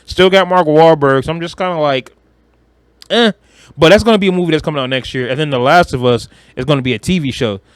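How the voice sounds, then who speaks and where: A man's voice: on the high side, fast, clear, resonant tone, no echo. A man co-hosting a podcast, chatting casually about pop culture in a slangy, opinionated way. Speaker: podcast co-host.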